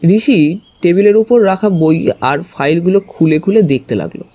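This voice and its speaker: A man's voice: sits high, lively intonation, normal speed, dark tone, lo-fi sound. A man narrating a story dramatically in the first person.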